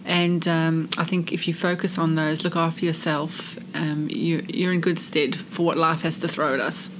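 A South African woman with a soft English-speaking South African accent talks through her career in a relaxed interview. Her voice sits on the low side, and a steady hiss of noise runs under the recording. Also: moderate pace. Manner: warm, earnest, expansive storytelling. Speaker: charity CEO, guest. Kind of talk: casual interview chat.

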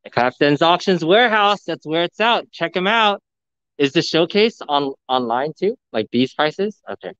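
A man livestreaming a walkthrough of a pinball showroom, excitedly talking to his viewers, recorded on a phone indoors. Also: on the high side, moderate pace, smooth, no room sound, highs cut off. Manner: excited, enthusiastic, addressing viewers. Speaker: vlogger, live streamer. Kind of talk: live walkthrough commentary. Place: large indoor arcade showroom. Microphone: phone camera.

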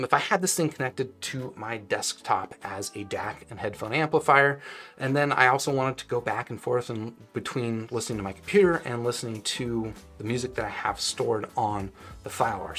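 An American man in his forties giving a casual product review, conversational and thinking aloud as he goes. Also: lowish voice. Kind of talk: casual tech review talk. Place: small home room, indoors.

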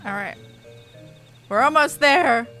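A woman's voice: high-pitched, takes it slowly, pushed and bright. A woman giving casual gameplay commentary as a streamer. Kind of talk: casual gameplay commentary, reading dialogue aloud. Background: game music.

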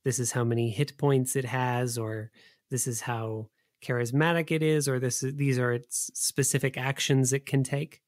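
Male podcast interviewer, medium pitch, thoughtful and articulate, clean dry room sound. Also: steady tone, moderate pace, no echo. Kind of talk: conversational interview questioning.

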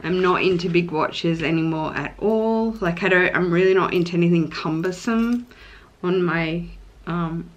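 A woman's voice: on the low side, moderate pace, muffled recording. A woman in her thirties with an Australian accent, chatting casually and gushingly to camera for a vlog at home. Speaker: vlogger. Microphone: camera at arm's length or on table.

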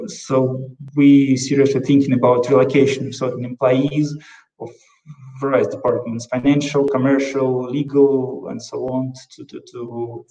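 A man talks through a video-call panel in a flat, halting way, stumbling over repeated words and fillers. His voice sounds roomy, as if he sits well back from the microphone. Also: medium pitch, distant mic. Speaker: corporate counsel.

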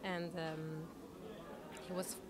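A woman's voice: deep voice, flat delivery, very slow. Chatty woman against busy chatter. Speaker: actress interview guest. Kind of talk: chatty anecdotal interview answers. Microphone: handheld interview microphone shared with interviewer.

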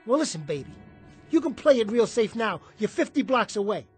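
An actor playing a bitter, washed-up small jockey in a radio drama, husky and combative, talking in streetwise slang. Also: male voice, high-pitched, big pitch swings, fairly quick, darkish tone, faint music behind, heavily acted. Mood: belligerent.

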